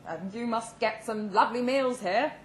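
A woman voicing a polite, resigned man in his fifties in a dramatic short story, speaking slowly and deliberately. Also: very high-pitched, voice pushed up. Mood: polite, awkward. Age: 50s. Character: polite, resigned middle-aged man facing death. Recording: roomy live venue with background noise.

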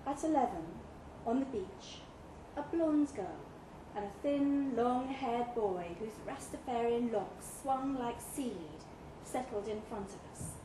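A woman reading literary fiction aloud at a live event, with measured, deliberate phrasing. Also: a little high, lively intonation. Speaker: story reader. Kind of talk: scripted literary narration, read live. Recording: echoey pub room, noisy live recording.

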